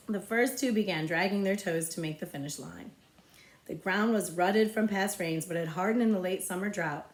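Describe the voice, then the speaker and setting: A woman narrating a children's novel aloud as a librarian would, with expressive storytelling. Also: on the low side. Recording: echoey, roomy sound.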